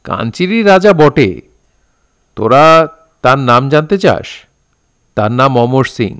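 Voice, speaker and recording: A man playing a patient elder telling a folk tale in deliberate, old-fashioned Bengali diction in an audio drama. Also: medium pitch, big pitch swings, a bit bright. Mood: patient, improvising. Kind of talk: storytelling within an audio drama.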